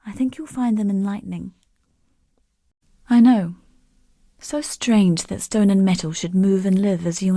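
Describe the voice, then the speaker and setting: An actress playing a bitter, proud, quietly grieving woman exile in a fantasy video game, speaking in a measured, wry storytelling way. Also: mid-range voice, dry recording, clean studio sound, lightly acted. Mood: reflective. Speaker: non-player character in a fantasy action game. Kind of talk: scripted dramatic game dialogue.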